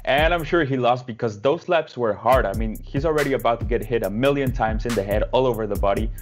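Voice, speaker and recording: A man's voice: mid-range voice, fast talker, soft background music. Wry young man, opinionated sports chat. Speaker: co-host. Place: home room, video call.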